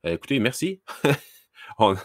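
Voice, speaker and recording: A man's voice: mid-range voice, very animated, slow, no echo, studio quality. A man with a Québécois accent from Lac-Saint-Jean, hosting a hockey scouting podcast in a rambling, casual way with many fillers. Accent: Québécois French, Lac-Saint-Jean. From Quebec, Lac-Saint-Jean. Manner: rambling, many fillers and restarts, laughs at himself. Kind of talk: casual solo podcast talk.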